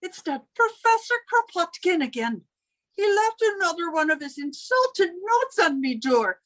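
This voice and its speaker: A woman's voice: very high voice, big pitch swings, moderate pace, fairly bright tone, full character voice. A woman playing a chatty, put-upon landlady who tells her grievances theatrically in 1940s radio comedy style. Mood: aggrieved. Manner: theatrical, aggrieved storytelling.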